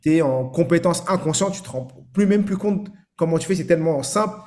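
A man's voice: on the high side, fairly quick, a little mellow. A man hosting a casual interview podcast who affirms his guest often and asks long, rambling questions. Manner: affirming, frequent "d'accord". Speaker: podcast host.

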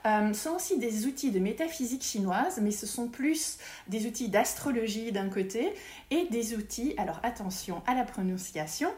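A fairly high-voiced woman speaks as an interview guest, explaining her consulting work in a lively way. She hesitates often and restarts her sentences. The recording has a noticeable room echo. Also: moderate pace. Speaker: feng shui consultant, podcast guest. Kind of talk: casual interview conversation.

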